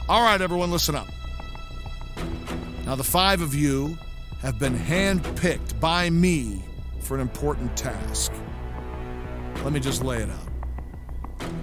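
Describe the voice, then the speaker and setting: A man playing a blunt, authoritative vampire prince in an audio drama, giving orders in brisk, informal speech. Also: mid-range voice, natural voice. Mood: commanding. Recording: loud music under the voice.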